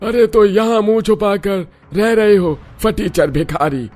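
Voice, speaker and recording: A man voicing an arrogant, contemptuous and angry bride's father in a cartoon drama, loud and scornful. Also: high voice, unhurried.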